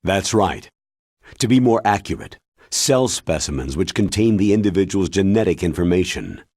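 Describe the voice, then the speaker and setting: A man voicing a grave, authoritative military commander in a video game, explaining in a measured, solemn way with a husky voice. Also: low-pitched, lively intonation, darkish tone, dry recording, studio quality. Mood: solemn, explanatory.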